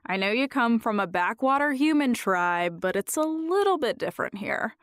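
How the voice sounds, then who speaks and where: A woman's voice: a little high, very animated, moderate pace, clear, resonant tone, no echo, clean studio sound. Sassy, breezy household greeter, dryly recorded woman actor. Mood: sassy, patronising. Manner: teasing, breezy, patronising endearments. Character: sassy, laid-back nonbinary human greeter in vampire household. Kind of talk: audio drama acting.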